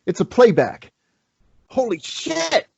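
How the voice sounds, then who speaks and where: A man acts out a flustered, hurt soldier in a horror script read. The voice is high and swings widely, with slow, strained delivery. The recording is a little muffled. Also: wildly swooping pitch, vocal fry, a little mellow, slightly rough, no echo, full character voice. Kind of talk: amateur table read of a horror film script.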